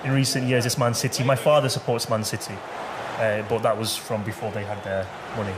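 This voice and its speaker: A man's voice: lowish voice, flat delivery, brisk, noisy background. A man with a Manchester accent, chatting casually and a bit hesitantly as a game developer showing off a football game. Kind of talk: casual chat during gameplay. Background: music behind the voices.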